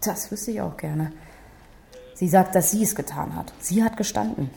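A police investigator in a radio thriller. Her voice is lowish and dark with plenty of fry. She explains things soberly and plainly. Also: normal speed, slight background noise. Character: matter-of-fact female police investigator summing up a case. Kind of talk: radio crime drama acting.

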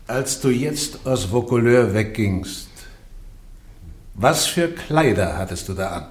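A church inquisitor in a radio drama. His voice sits at medium pitch, and his questioning is measured, pointed and insistent. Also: animated. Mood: probing. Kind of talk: German radio play acting.

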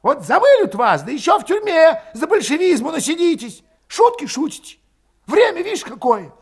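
A man voicing a hot-headed, scornful and excitable young revolutionary in an audiobook, ranting and snapping in rustic dialect. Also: very high voice, moderate pace, gravelly, voice pushed up, exaggerated voice. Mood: bitter, scornful. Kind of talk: audiobook character voice.

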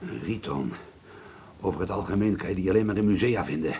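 A man's voice: deep voice, fairly quick, darkish tone, a little hiss or hum. An actor playing a nervy, earnest man, suspicious and haunted, in a radio drama. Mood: uneasy. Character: jittery, indignant male amateur painter, haunted and suspicious.

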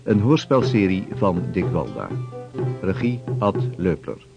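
A man's voice: sits low, big pitch swings, measured pace, creaky. A man announcing the title and credits of a radio drama in a declamatory way. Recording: dull lo-fi recording over guitar music.

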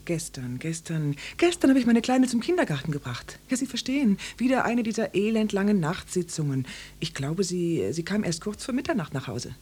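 An actress playing a coy, evasive housewife in her thirties in a German radio drama, husky and hesitant as she plays along. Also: mid-range voice, big pitch swings, fairly quick, mellow. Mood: evasive, flustered.

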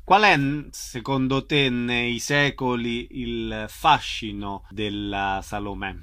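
A man's voice: mid-range voice, steady tone, slow, sharp tone, clean tone. A man asking short, conversational questions as an interviewer.

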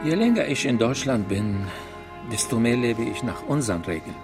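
A troubled, devout man played by an actor muses wryly in a mid-range voice with expressive intonation. It is reflective radio drama dialogue with music underneath. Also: mid-range voice, normal speed, natural voice. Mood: brooding. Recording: music behind.

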